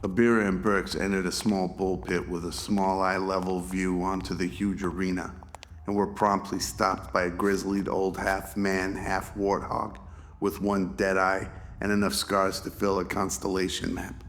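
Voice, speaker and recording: A man's voice: deep voice, little pitch movement, moderate pace, a little hiss or hum. A man reading scripted narration for a radio drama.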